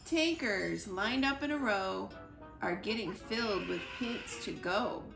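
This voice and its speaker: A woman reading a children's picture book aloud in an expressive storytime style, with deliberate, playful emphasis on the rhyming words. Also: medium pitch, vocal fry. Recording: roomy echo with music behind. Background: music.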